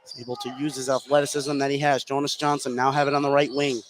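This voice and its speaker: A man calling live radio basketball play-by-play from courtside in a high school gym. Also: level tone, quick pace. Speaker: play-by-play sports commentator. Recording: clearly noisy gym ambience. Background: clear gym noise.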